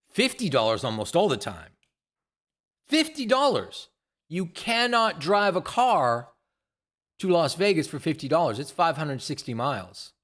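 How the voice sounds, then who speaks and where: A man's voice: mid-range voice, a bit bright. Guy in his 60s just rambling to the camera, says stuff twice, swears a lot, regular middle voice. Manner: repeats phrases, swears casually. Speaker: vlogger. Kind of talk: casual rambling monologue.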